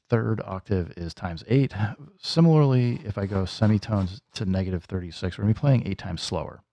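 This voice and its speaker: A man hosts a casual hands-on tutorial in a very low voice with plenty of gravel. His tone is dark and relaxed, and he thinks aloud informally as he demonstrates. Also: no room sound. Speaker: music gear tutorial host.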